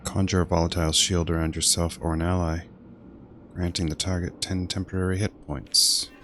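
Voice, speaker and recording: A man with a very low voice commentating casually on a game he is playing. He thinks aloud in a dry, blunt way, with faint music in the background. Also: little pitch movement, brisk, a bit bright. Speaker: game streamer. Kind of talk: casual gameplay commentary, reading menus aloud.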